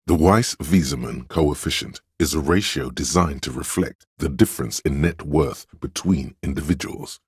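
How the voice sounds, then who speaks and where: A learned, pedantic man's inner voice narrating trivia in a video game. The pitch is extremely low, with heavy gravel in it. The delivery is measured and matter-of-fact, recorded very dry and close. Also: no room sound, full character voice. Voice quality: very deep, gravelly, husky. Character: pedantic encyclopedic inner voice, a deep-voiced know-it-all man.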